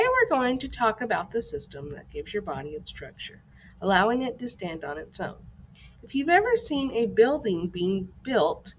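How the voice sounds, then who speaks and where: A woman's voice: medium pitch, a little hiss or hum, low-quality recording. A woman reading a scripted educational lesson aloud as a teacher, in an instructive way that poses questions to young listeners.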